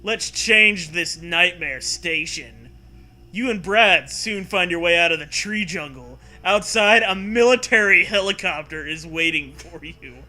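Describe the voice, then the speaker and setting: Man, bright forceful high voice, excitable, profane, comedic narration, casual co-host banter. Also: moderate pace, few pauses. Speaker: co-host reading a comic story.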